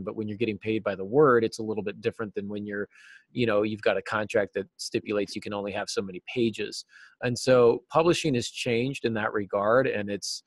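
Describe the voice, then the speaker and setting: An American man in middle age speaks in a fairly low voice. He talks conversationally and thoughtfully, as a guest being interviewed. The sound is dry, with little room in it. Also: no echo. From United States. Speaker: interview guest, novelist and teacher. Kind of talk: casual interview talk. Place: small cluttered office, indoors. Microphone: video call.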